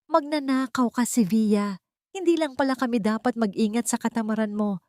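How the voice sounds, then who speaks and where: A woman's voice: a little high, brisk, darkish tone, dry recording, studio quality, voice pushed up, natural voice. A woman voicing a cruel, domineering older mother-in-law who scolds and accuses in an audio drama story. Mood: angry, accusing. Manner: scolding, commanding.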